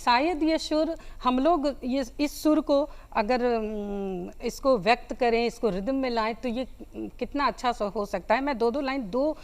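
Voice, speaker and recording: High, clear-voiced Bihari woman. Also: a little slow, hardly any pauses. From Magadh region of Bihar, India. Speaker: folk singer and guest. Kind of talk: interview talk about folk songs.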